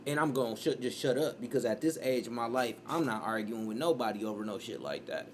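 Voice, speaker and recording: A man, reacting to a clip in rambling casual commentary and breaking into loud outbursts. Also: mid-range voice, expressive, quick pace, a little hiss or hum. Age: 30s.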